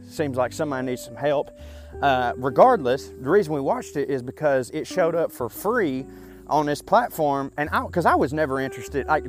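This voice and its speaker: Southern man, 30s, mid-range voice, loose profane storyteller; light music underneath. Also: mid-range voice. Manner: chatty, profane, self-deprecating, frequent fillers. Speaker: podcast host. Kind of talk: casual rambling monologue.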